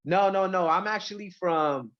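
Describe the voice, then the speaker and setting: A man from Mexico and Central California, a rapper, chatting casually in an interview with a halting, repetitive delivery full of filler phrases. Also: sits high, muffled recording. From born in Mexico, grew up in Central California. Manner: halting, repeats words, frequent "you know" and "like".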